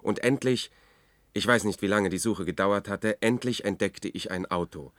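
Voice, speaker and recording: An actor playing a sober pilot who narrates a radio play in the first person, in a measured, literary manner. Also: male voice, on the low side, no room sound, studio quality, close to an everyday voice. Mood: focused, suspenseful. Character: sober male pilot recounting his night search.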